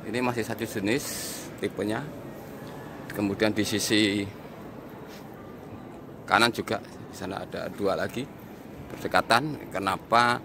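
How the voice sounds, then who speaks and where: Guy with a low gravelly voice doing a walkaround review, kinda halting, clear background noise. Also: fairly even intonation. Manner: halting, explanatory, counting and pointing things out, repeats himself. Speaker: audio reviewer and vlog host. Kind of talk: walk-through review commentary, improvised. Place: inside a large mosque, walking around.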